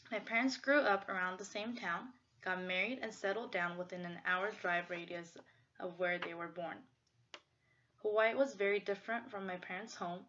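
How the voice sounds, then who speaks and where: A woman's voice: mid-range voice, a bit bright. A young woman from Korea, with Korean as her first language, reading a prepared personal essay in an earnest, reflective way. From South Korea. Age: young adult. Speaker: student presenter. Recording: dull lo-fi recording.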